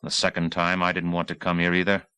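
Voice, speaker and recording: Guy reading as bitter war vet out for revenge, deep voice, brooding n defiant, dry audiobook sound. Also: monotone, fast, sharp tone, clear, resonant tone, dry recording. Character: embittered, vengeful male war veteran commando.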